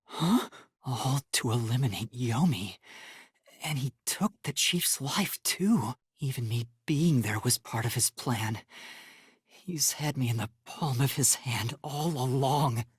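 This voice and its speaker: A soft, breathy boyish video game detective, earnest and timid, with a polite, hesitant way of speaking. His pitch swings widely from line to line. The recording is very dry and close. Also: expressive, slow, no room sound, heavily acted. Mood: grim, brooding. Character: earnest, timid young male detective trainee. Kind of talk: English video game voice acting.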